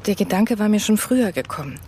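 Woman acting a protective shaken mom in a radio thriller, mid pitch, faint outdoor noise behind. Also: lively intonation, quick pace, dark tone, close to an everyday voice. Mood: subdued, grave. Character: protective mother, shaken by her daughter's kidnapping. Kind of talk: German radio crime drama acting.

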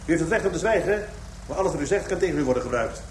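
An actor playing a tense police adjutant in a radio crime drama, giving clipped calls and orders. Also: male voice, a little high, lively intonation, very fast, lightly acted. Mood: stern, formal. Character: tense male police adjutant commanding an arrest team. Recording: roomy, distant, noisy garage scene.

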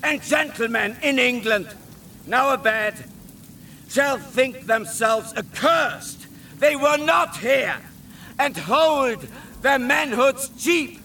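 An actor playing an impassioned English warrior king, declaiming a drawn-out battle speech. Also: very high voice, lively intonation, sharp tone, slight background noise, heavily acted. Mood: rousing, defiant. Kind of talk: Shakespearean battle oration. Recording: distant, with rain and thunder.

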